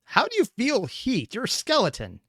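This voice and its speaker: A man's voice: very high voice, wildly swooping pitch, moderate pace, a bit bright, slightly rough, no room sound, clean studio sound. A man giving casual, analytical reaction commentary, musing aloud as he thinks through what he watches. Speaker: reaction video host.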